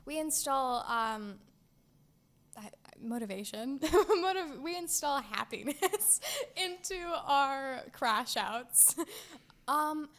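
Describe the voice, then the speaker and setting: Giggly teenage girl, higher voice. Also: animated, slow, fairly bright tone. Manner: giggly, hesitant with frequent ums. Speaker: podcast co-host. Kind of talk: casual chat.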